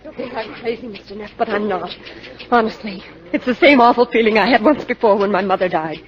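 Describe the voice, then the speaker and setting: A woman's voice: on the high side, brisk, crowd noise, close to an everyday voice. A woman acting an earnest, distraught young daughter in 1950s radio drama, a little hesitant, on a muffled vintage recording. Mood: haunted. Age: young adult.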